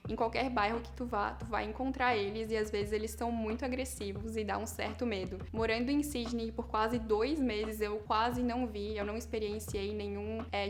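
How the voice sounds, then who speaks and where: A woman's voice: medium pitch, a little hiss or hum. A young woman from Brazil, chatting upbeat to her viewers in a casual vlog and stretching words for emphasis. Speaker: vlogger. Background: background music.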